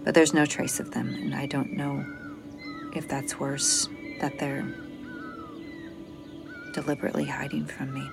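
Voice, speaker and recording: A worn-down female mission officer stranded in space, earnest and protective of her crew. Her voice sits very low and breaks into creaky fry. It is an audio drama performance with music clearly underneath. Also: flat delivery, unhurried, pauses often, heavily acted. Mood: subdued, uneasy. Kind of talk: audio drama acting, logged report monologue.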